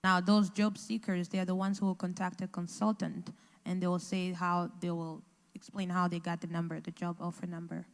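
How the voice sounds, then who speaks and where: A woman interpreting consecutively into English, halting and restarting as she translates. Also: lowish voice, level tone. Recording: echoey hall.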